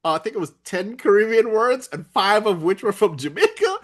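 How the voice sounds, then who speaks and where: A man co-hosting a casual podcast chat, explaining things excitedly and laughing. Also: high-pitched, brisk. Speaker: podcast co-host.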